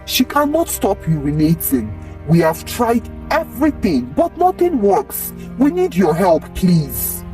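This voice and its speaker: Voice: medium pitch, wildly swooping pitch, measured pace, warm tone, far from the mic, soft background music. A storyteller voicing a worried, exasperated father, urgent and reproachful. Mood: pleading.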